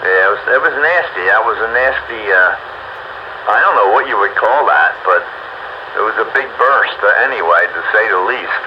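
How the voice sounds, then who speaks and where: A man's voice: fairly low pitch, animated, moderate pace. A man chatting casually over two-way radio, folksy and exclamatory. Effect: two-way radio transmission. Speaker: amateur radio net participant. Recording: muffled, noisy ham radio transmission.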